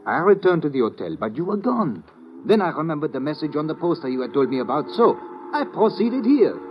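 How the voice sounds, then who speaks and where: An actor playing an earnest, formal French investigator in an old-time radio drama, speaking precisely with a French accent. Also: male voice, fairly high pitch, very animated, a little fast, lo-fi sound. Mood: indignant, earnest. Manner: formal, precise, uncontracted speech. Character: formal, earnest French police colleague, male, easily indignant. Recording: vintage radio recording, faint noise.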